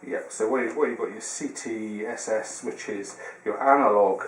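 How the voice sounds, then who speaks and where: Man, lowish voice, informal product demo, hesitant step-by-step explaining, noisy room. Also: moderate pace. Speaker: product demonstrator. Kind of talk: informal hands-on product tutorial. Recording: roomy echo with background hiss.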